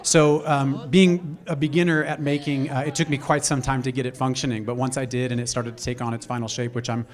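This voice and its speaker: A man giving a business pitch on stage through a microphone, halting and repeating words as he addresses a live audience. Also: flat delivery. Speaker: entrepreneur pitching a product.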